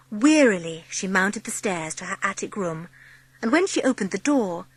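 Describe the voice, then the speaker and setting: A woman reading expressive storybook narration for a dramatised audiobook. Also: mid-range voice, slightly rough, no echo.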